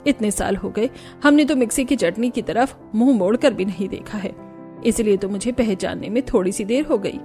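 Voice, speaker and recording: A woman's voice: medium pitch, normal speed, warm tone, voice pushed down, natural voice. An older Indian mother-in-law from a cartoon family drama, acted by a woman. She is fussy about her food and speaks over music. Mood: firm.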